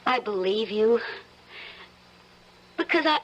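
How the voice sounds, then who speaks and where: Anxious, high-pitched, suspicious wife. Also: female voice, expressive, highs cut off, a little hiss or hum. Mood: frightened. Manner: halting, emotional. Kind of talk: old-time radio drama acting.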